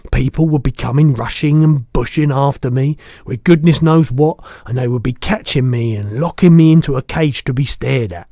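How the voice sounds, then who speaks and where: A man's voice: medium pitch, normal speed, hardly any pauses, mellow, no room sound, studio quality, pitched above the performer's own voice, lightly acted. A man voicing a big friendly giant from a storybook, blustering, muddled and good-natured, speaking emphatically in garbled childlike grammar. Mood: earnest, animated.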